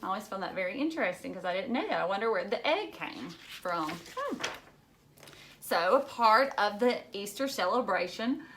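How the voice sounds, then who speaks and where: A woman's voice: mid-range voice, expressive, normal speed, fairly bright tone, a little hiss or hum. A woman reading a children's book aloud like a teacher, explaining things simply and adding chatty asides addressed to young listeners. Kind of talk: read-aloud storybook narration with chatty asides.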